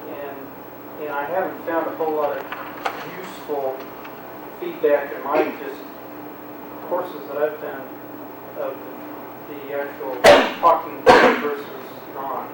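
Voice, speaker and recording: A man in the audience asking a halting, rambling question in a seminar room. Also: on the high side, fairly even intonation. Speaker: audience member. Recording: distant, echoey, loud background noise.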